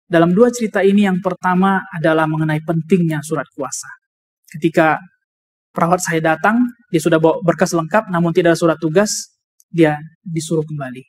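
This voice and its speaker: A high-voiced man with an Indonesian accent delivers a sermon. He explains his points deliberately, as a preacher teaching a congregation, and restates key phrases to drive them home. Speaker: preacher, also a doctor. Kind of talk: sermon with personal anecdotes and scripture reading.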